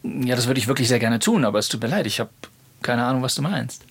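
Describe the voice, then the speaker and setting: Affable, husky-voiced veteran voice actor. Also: male voice, mid-range voice, expressive, quick pace, no room sound. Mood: polite, puzzled. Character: calm male voice actor from old kids' mystery series.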